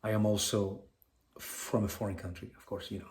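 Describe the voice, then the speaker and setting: A man from Venezuela with a Venezuelan Spanish accent, co-hosting a community podcast in an earnest, halting way with many restarts. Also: fairly low pitch, level tone, darkish tone. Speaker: podcast co-host. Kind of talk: casual community podcast chat.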